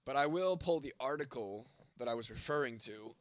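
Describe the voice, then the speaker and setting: A man's voice: medium pitch, expressive, fairly quick, fairly bright tone. A man on a live stream, chatting casually with viewers and reading their comments aloud. He is congested and losing his voice, and he talks in a laid-back way with lots of swearing and filler words. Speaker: live-streaming content creator.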